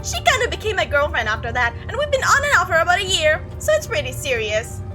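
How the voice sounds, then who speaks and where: A creepy young man from a visual novel, acted out by a woman, chatters on without pause. The pitch sits high and jumps around animatedly. He is breezy and oblivious, with casual menace under the friendly rambling, and music plays behind. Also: wildly swooping pitch, sharp tone, heavily acted. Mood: boastful, rambling. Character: talkative, oblivious, creepy young male bus passenger.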